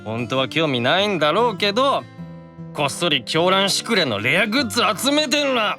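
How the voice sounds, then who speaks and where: A tipsy young demon brother pours out affection in an anime-style audio drama. His bright, high-set voice swings widely, earnest and slurred like an insistent child, with music behind it. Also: sing-song, moderate pace, exaggerated voice.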